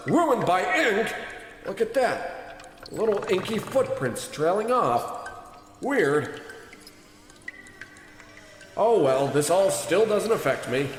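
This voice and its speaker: A man's voice: sits high, roomy sound, big-room sound, a little hiss or hum. A man playing a pompous, callous tycoon. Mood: blustering. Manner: pompous, self-absorbed, deadpan. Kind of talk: comedy radio play acting.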